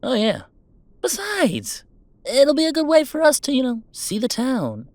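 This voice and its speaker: A man's voice: very high voice, wildly swooping pitch, unhurried, dry recording, heavily acted. A man playing a chatty, affectionate, well-off gamer in a roleplay audio drama, talking casually and reassuringly in a rambling, wry way. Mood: upbeat, affectionate. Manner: casual, rambling, self-interrupting, wryly reassuring. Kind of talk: intimate roleplay audio acting, one-sided conversation.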